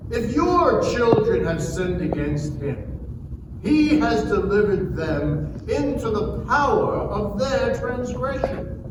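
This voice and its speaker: A man's voice: high-pitched, exaggerated voice. A man in a dramatic scripture reading, playing a stern, righteous counselor who admonishes in a declamatory way. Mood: rebuking. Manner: declamatory, admonishing, drawn-out phrasing. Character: Bildad, stern righteous male counselor rebuking a sufferer. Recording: distant, cavernous echo with clear noise.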